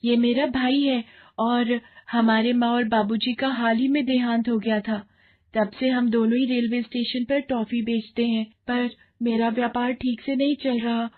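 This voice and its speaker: A woman's voice: on the high side, flat delivery, a little slow, phone-quality sound, voice pushed up, natural voice. A woman voicing a poor, hard-working orphan girl in a cartoon story, earnest and pleading. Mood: sad. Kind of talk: Hindi moral cartoon story acting.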